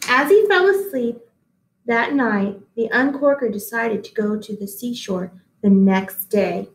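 A woman in her thirties reads a storybook to young listeners at home. Her voice sits somewhat high, with an expressive, careful storytelling delivery. The sound is clearly roomy, as in a small hard-walled office. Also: unhurried, a little hiss or hum. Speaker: read-aloud host, teacher. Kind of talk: picture book read-aloud with asides to children at home. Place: small indoor office-like room. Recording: echoey small room.

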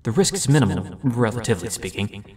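Man acting a low-voiced, calculating mage. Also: big pitch swings, fast, darkish tone, distant mic. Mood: calculating, eager. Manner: clinical, rambling explanation. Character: analytical, confident young male scheming mind mage. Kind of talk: fantasy audio drama.

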